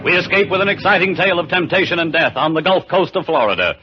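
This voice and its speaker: A male radio announcer reading the closing credits of a vintage radio drama in a crisp, formal style. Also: a little high, lively intonation, fast. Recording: lo-fi old radio broadcast with music.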